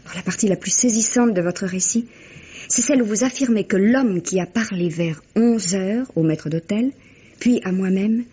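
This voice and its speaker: Husky, refined widow played by an actress. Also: female voice, medium pitch, moderate pace, natural voice. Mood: intrigued, uneasy. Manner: genteel, theatrical, expressive delivery. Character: refined, emotional widow, politely admiring. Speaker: actor. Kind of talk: vintage French radio mystery acting. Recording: muffled old radio drama recording.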